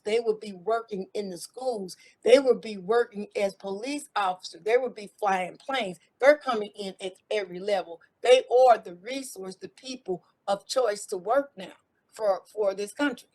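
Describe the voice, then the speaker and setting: A woman's voice: medium pitch, darkish tone, low-quality recording. A middle-aged American woman talking in a casual interview, rambling and restarting with repeated words. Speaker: guest, former IT contractor.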